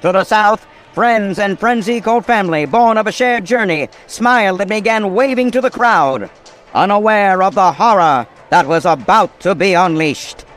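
An actor playing a grandiose, melodramatic narrator of an old-time pulp hero radio serial. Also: male voice, high voice, lively intonation, normal speed, a bit bright. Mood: grand, urgent. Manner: dramatic, grandiose.

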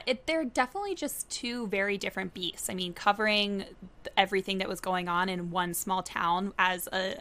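A woman's voice: mid-range voice, bright, forceful tone. A young woman from Florida, a business journalist, chatting as an interview guest in an upbeat way and laughing often. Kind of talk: casual interview chat.

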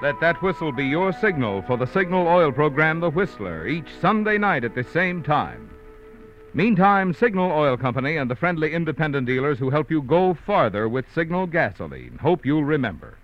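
A dull old radio recording with music behind an American male announcer. His voice is fairly high and lively, with polished, formal phrasing. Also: normal speed. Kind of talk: 1940s radio commercial and credits announcing.

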